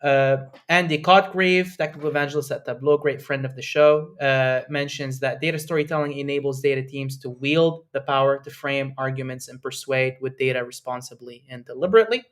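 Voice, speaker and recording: A man's voice: mid-range voice, steady tone, brisk, few pauses, a bit bright. A young man from Lebanon hosting a live online webinar, talking in an enthusiastic, conversational way with frequent fillers and "right?" tags. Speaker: webinar host and presenter.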